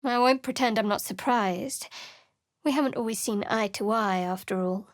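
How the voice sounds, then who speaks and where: A woman voicing a guarded young female cleric of a dark goddess in a video game, cool, reserved and wry, whispering her prayers close to the mic. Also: on the high side, moderate pace, no echo, natural voice. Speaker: game companion character. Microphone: close studio mic.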